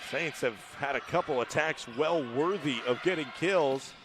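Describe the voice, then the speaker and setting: A man doing live play-by-play commentary on a college volleyball match, who rises to shouts on big plays. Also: fairly high pitch, moderate pace, noisy background.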